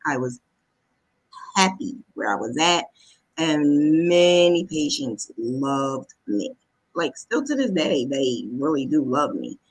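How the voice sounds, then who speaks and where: A woman's voice: deep voice, big pitch swings, slow, slightly rough, rough recording. A woman vlogger telling a personal story in a casual, conversational way, often backtracking as she goes. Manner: conversational, backtracking, acts out quoted dialogue.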